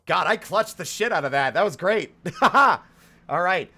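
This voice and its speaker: A man's voice: high-pitched, expressive, measured pace, bright, forceful tone. A man doing casual, sweary live commentary as he streams a video game. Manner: informal, sweary.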